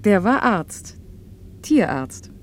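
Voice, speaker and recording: Over audible scene noise comes a harried mother in a radio drama. She is a middle-aged woman with a medium-pitched voice. Her manner is brisk, clipped and strict. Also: sing-song. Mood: reflective. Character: Frau Bayer, harried, strict working single mother. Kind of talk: naturalistic German radio play acting.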